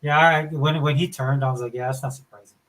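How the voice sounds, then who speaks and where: A man's voice: a little high, steady tone, fast, a bit bright, poor audio. A man with a somewhat whiny voice chatting casually on a podcast, loose and informal, saying like and dude often. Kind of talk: casual chat.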